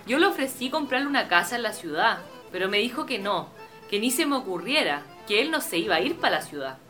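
Radio drama: educated young Chilean daughter acted by a woman, insistent, colloquial, bright highish voice, light music behind. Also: sing-song, a little fast. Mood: polite, concerned. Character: insistent, status-conscious doctor daughter pleading with father.

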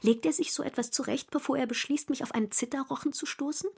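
An actress playing a troubled, secretive woman confiding in her diary in a radio drama, intimate and deliberate. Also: sits high, gravelly. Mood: fearful, confiding. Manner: intimate, deliberate, dropping into whispers. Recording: faint music behind the voice.

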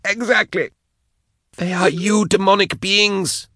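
A man voicing a tormented, devout woman captive in an audiobook, her words strained, halting and unwilling as she is forced to confess. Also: steady tone, pushed and bright, no room sound, studio quality, heavily acted. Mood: fervent. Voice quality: strained, high-pitched. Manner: tight, unwilling, halting, whining. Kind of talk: dramatised audiobook dialogue.

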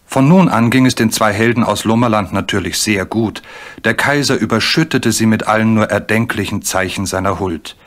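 Male narrator: slightly low pitch, measured storytelling read for a children's audio drama. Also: fairly bright tone.

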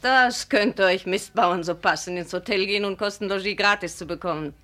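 An actress playing a grasping, scheming lodging-house landlady in a 1950s German radio play, with a sharp, bullying, insinuating manner. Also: medium pitch, brisk, natural voice. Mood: harsh, threatening.

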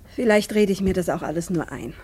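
A woman's voice: fairly low pitch, a little fast, darkish tone, slight background noise, lightly acted. Actress playing an anxious, brooding middle-aged mother. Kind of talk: German radio play acting.

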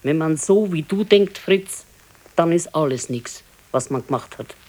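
A woman playing an aging, resigned, gently chiding wife in a radio play, with a southern German, Bavarian accent and a matter-of-fact manner. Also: low-pitched, expressive, a little slow. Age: older. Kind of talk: naturalistic radio play acting.

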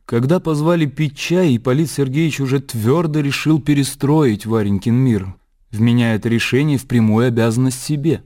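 Casting: composed male storyteller for classic literature, warm relaxed tone, mid-pitched. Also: normal speed. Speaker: narrator. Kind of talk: scripted literary narration for radio drama.

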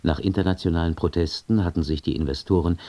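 A man's voice: low voice, fairly even intonation, fast talker, warm tone. A man reading a scripted radio news bulletin in standard German from Germany, in a formal, sober manner. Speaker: radio newsreader.

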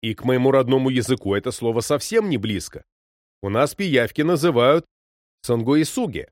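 A man reading out a series of short first-person stories as scripted narration, in a calm, even storytelling manner. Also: mid-range voice, normal speed, no echo, clean studio sound.

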